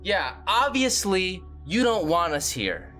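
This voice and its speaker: A man's voice: high voice, sing-song, takes it slowly, sharp tone, soft background music. A man playing in a tabletop roleplay, casual and hesitant, moving in and out of character. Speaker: player.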